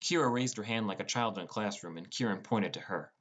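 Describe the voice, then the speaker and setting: A man's voice: on the low side, fairly quick, a bit bright. A man reading scripted first-person fantasy narration as an audiobook narrator. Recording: music bed under voice. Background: prominent plucked guitar music.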